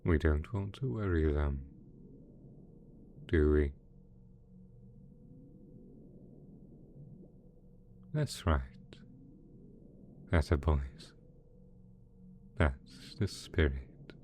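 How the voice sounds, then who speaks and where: A man playing a doting, possessive mad scientist boss in an ASMR roleplay, soft-spoken, coaxing and sweetly sinister. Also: deep voice, expressive, unhurried, pauses often, slight background noise. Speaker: voice actor in audio roleplay.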